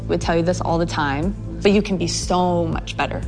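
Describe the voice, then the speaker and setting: Woman, low-ish, blunt trainer's critique, music bed under the clip. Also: normal speed.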